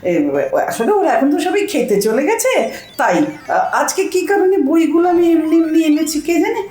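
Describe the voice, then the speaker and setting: A bossy, illiterate older housewife in a comic audio drama, acted by a woman, swings through a very lively range of pitch. She scolds, wheedles and boasts with great self-importance. The room rings around her and faint music plays underneath. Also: a little fast, reverberant. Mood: boastful.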